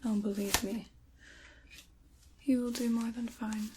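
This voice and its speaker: A woman playing a sinister, chaos-loving dark witch in a whispered, theatrical ASMR roleplay, soft-spoken and close to the microphone. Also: a little high, flat delivery, slow, background noise. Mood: silky, coaxing.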